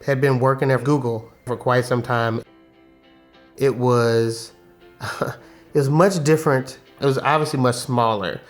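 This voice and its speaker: A man in his forties from Texas, telling his faith story in a relaxed way, chuckling as he talks. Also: mid-range voice, a little slow, faint music behind. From Houston, Texas. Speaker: interviewee. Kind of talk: personal faith testimony.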